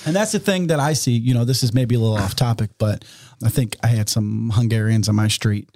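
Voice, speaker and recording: A man's voice: medium pitch, brisk, darkish tone, no room sound. Easygoing man, dry close sound. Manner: easygoing storyteller, blunt and informal. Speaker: podcast co-host. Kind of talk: casual podcast conversation.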